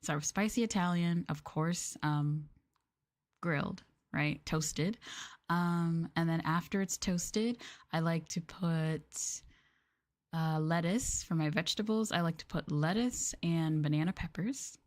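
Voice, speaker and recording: Soft-spoken American woman, lowish voice. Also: slow. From United States. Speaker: streamer chatting with live viewers. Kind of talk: casual ASMR chat stream.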